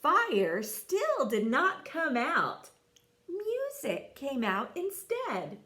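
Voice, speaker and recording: A woman reading a children's story expressively, with theatrical delivery and dramatic pauses. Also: sits high, huge pitch swings, pushed and bright. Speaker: storybook reader.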